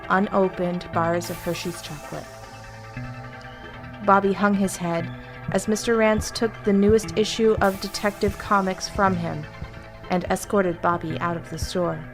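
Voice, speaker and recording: Woman reading a scary story real slow and deliberate, pausing a lot, bit of music behind her. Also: medium pitch, fairly even intonation. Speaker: story reader. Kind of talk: scripted horror story narration.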